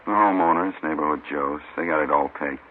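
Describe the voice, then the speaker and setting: An actor playing a world-weary, slangy street informant, offhand and laconic, in a mid-century radio crime drama. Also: male voice, very deep voice, a little fast. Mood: weary, evasive. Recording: muffled, faintly noisy old radio recording.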